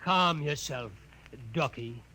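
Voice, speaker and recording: An actor playing a blustering, sarcastic police sergeant who badgers people in 1950s radio drama style. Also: male voice, on the high side, slow, loud music behind the voice. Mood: mocking.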